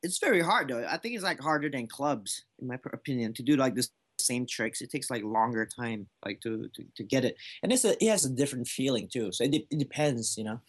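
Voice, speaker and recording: A man's voice: mid-range voice, expressive, fairly quick, few pauses, breathy edge. A man in his thirties from Malaysia, a juggler answering interview questions casually with lots of fillers. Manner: easygoing, lots of "like" fillers and restarts. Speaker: juggler, guest.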